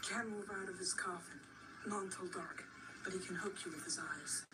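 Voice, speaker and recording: A woman's voice: on the low side, moderate pace, far from the mic, over loud music, lightly acted, low-quality recording. A performer voicing a bold, determined young American boy, blunt and urgent, in a radio drama. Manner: blunt, urgent, matter-of-fact.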